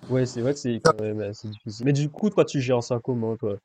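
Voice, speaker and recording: Soft-spoken male interviewer, dry sound. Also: medium pitch, fast talker, no echo. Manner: soft-spoken, agreeing often, hesitant questions. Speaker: podcast host, interviewer. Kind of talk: casual interview chat.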